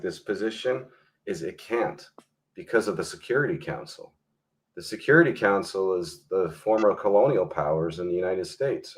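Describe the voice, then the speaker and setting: Blunt low-voiced male guest. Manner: blunt, swears, argues emphatically. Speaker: guest historian and filmmaker. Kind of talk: casual opinionated discussion.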